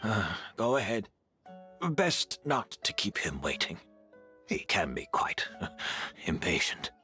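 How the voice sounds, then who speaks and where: A man playing a weary, resigned teacher in a video game, speaking in a halting, deliberate way. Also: fairly low pitch, big pitch swings, pushed and bright, soft background music, full character voice. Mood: relieved. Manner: slow, halting, deliberate delivery. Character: weary, resigned male teacher and mentor facing his end.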